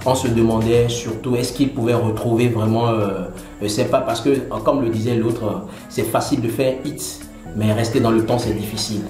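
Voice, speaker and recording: A man's voice: mid-range voice, steady tone, moderate pace, a little mellow, roomy sound, faint music behind. A man from Benin hosting a casual TV interview, rambling with many fillers and stutters. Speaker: TV host.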